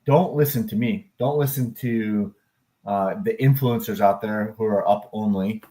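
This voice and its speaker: A man's voice: fairly low pitch, unhurried, mellow. A man hosting a livestream about crypto and stock charts, talking casually in a rambling, chatty, self-deprecating way and answering his chat.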